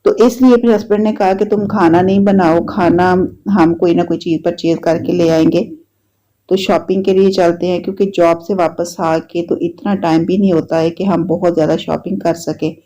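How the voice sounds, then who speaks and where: A woman's voice: lowish voice, moderate pace. A woman with a Pakistani Urdu accent and a slightly sore throat, chatting casually as she narrates her home video diary. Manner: chatty, repetitive, relaxed storytelling. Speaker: vlogger. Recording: dull lo-fi sound.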